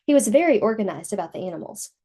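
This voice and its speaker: A woman reading a picture book aloud to young children in an expressive storytelling manner. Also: medium pitch, fast talker, breathy edge.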